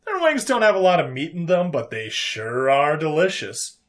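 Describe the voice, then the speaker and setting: Breezy human interrogator played by a male narrator, pitched up and swinging, folksy sci-fi storybook good guy. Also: moderate pace. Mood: folksy, enthusiastic. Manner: folksy, calm, drawling colloquial phrases.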